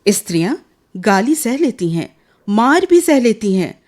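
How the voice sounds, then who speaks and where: A woman's voice: fairly high pitch, very animated, unhurried, a little mellow, faint music behind. A woman narrating a radio play in a dramatic, scripted storytelling style.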